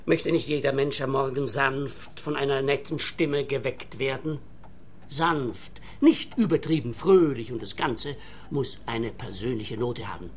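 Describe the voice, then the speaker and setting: A man's voice: mid-range voice, measured pace, slight background noise, natural voice. An actor playing an earnest, self-convinced inventor and entertainer in a radio play, eager and insistent like a salesman, with a Swiss accent.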